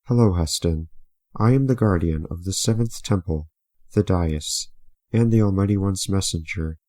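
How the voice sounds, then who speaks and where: A deep, dry-recorded male guardian spirit acted by a man delivers messages from on high. He is stately, formal and detached, in fantasy audio drama style. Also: normal speed, dark tone, no room sound, close to an everyday voice. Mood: solemn. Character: solemn, aloof male guardian spirit, divine messenger.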